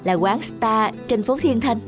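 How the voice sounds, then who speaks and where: A woman voicing a gentle, lovelorn young office worker in an animated drama, earnest and soft-hearted. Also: on the high side, unhurried, over music, close to an everyday voice. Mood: open, unsure.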